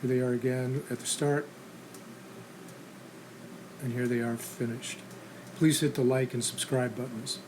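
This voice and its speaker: A man's voice: medium pitch, steady tone, a little slow, pauses often. A man giving casual, practical how-to narration as the host of a restoration channel, in a plain instructional manner. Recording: clear background noise and ambience.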